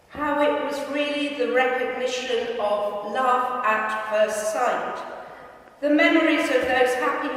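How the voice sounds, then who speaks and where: A woman reading written memoir pieces aloud at a live event, in a measured, deliberate way. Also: a little high. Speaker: reader at a live spoken-word event. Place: large echoing gym hall. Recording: very echoey hall, distant microphone.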